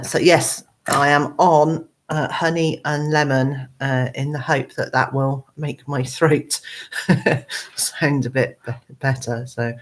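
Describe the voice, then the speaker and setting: A woman with a hoarse, croaky voice, hosting a casual live chat from her computer at home, rambling with many ums and uhs. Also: deep voice. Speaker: gardening channel host.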